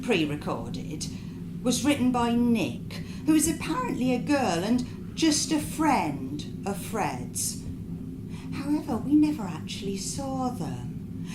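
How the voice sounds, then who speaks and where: A woman reading a comic monologue live as a naive, chatty narrator, confiding and dropping deadpan asides. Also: medium pitch. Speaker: writer reading her own comic short story. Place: indoor performance venue. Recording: echoey live venue recording with background noise.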